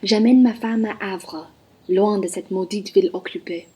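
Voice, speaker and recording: A woman in a student radio play, playing a courteous, formal aristocratic gentleman. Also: medium pitch, steady tone, a little slow, breathy edge. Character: courteous aristocratic count, a man fleeing war.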